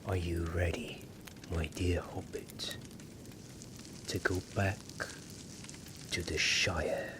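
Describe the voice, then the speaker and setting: Man acting as a weary gentle fantasy warrior, deep soft whisper, reassuring, ASMR roleplay, noisy rain-like ambience behind. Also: flat delivery. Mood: tender, reassuring. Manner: slow, reassuring, with long pauses. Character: weary, gentle male war companion in a fantasy epic.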